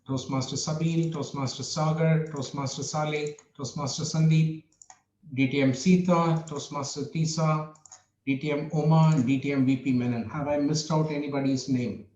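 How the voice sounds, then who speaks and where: A man's voice: on the high side, darkish tone, slight background noise. Man in roomy, muffled online meeting audio. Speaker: meeting official running a vote. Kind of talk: informal meeting administration, roll call.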